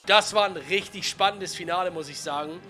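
A man in his twenties with a cold, giving chatty, slangy, excited commentary on a video game over faint music. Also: high-pitched, brisk. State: has a cold. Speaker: gaming YouTuber.

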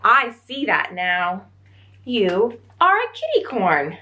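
A woman's voice: mid-range voice, huge pitch swings, takes it slowly, pushed and bright, heavily acted. A woman voicing an eager, exclamatory pink kitty who longs to be a unicorn in a storybook. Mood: delighted.

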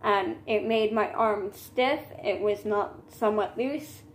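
A woman's voice: mid-range voice, takes it slowly, a little hiss or hum. A teenage girl venting in a rambling, casual monologue, filmed on a phone at arm's length in a small room. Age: teens. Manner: rambling, restarts and trailing asides. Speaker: vlogger. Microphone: phone camera at arm's length.

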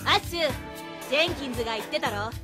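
Woman acting small street kid, high bright voice, cheeky, boyish slang, radio drama. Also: expressive, fast, over music. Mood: friendly, brisk. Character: small, cheeky, streetwise young boy gang member.

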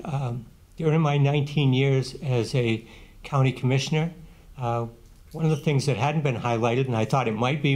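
A man in his seventies, a former councilor, giving a plain-spoken, steady closing statement at a candidate forum, seated at a table microphone in a small meeting room. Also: medium pitch. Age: 70s. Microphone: table microphone on a stand.

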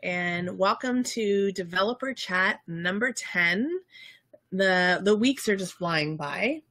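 A woman in her thirties, stuffed up with a summer cold, co-hosting a casual livestream from home in an excitable, chatty way with plenty of ums. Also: medium pitch, slow, no echo. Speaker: co-host of a game studio livestream. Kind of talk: casual live chat. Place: home room with bookshelves, indoors.

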